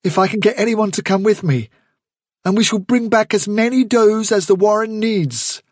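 A man voicing a young male rabbit leader who reasons calmly and persuasively with the others. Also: sits high, normal speed, no echo, studio quality, pitched above the performer's own voice. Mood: earnest. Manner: earnest, reasoning, steady.

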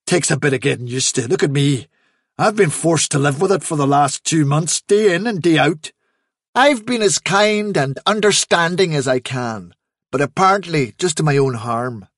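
A man voicing a wily storybook rabbit chief who sounds fatherly, reasonable and gently condescending. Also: on the high side, animated, normal speed, no room sound, studio quality. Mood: patient, weary. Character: wily male rabbit chief, fatherly, patient, mock-kindly on trial. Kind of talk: storybook character reading.

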